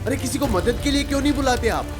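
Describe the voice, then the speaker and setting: A man playing a frightened, confused young hostel newcomer in an animated horror story, anxious and pleading. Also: very high-pitched, fast talker, over music. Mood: alarmed, confused.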